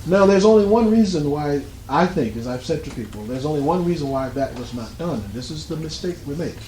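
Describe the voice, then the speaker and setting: A man's voice: on the high side, expressive, fairly quick, mellow, roomy sound, a little hiss or hum, poor audio. A man speaking up at a community meeting, emphatic and argumentative, using rhetorical questions. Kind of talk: public comments at a meeting. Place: art gallery meeting room.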